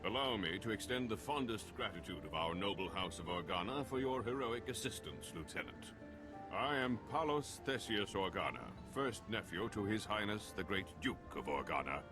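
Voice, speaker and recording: A man acts out a proud, suspicious nobleman for a video game. His formal, pompous speech rises and falls widely from a low register. Music plays clearly behind him. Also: animated, moderate pace, natural voice. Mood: stiffly gracious. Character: haughty nobleman, nephew of a duke, suspicious and formal. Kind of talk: video game cutscene acting.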